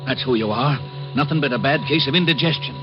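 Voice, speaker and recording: A man's voice: mid-range voice, fast, natural voice. A man playing a grouchy, miserly New York moneylender who gets jittery when scared, with an American accent and slangy grumbling, in 1950s radio comedy-drama style. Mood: skeptical. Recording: dull old radio recording, loud music.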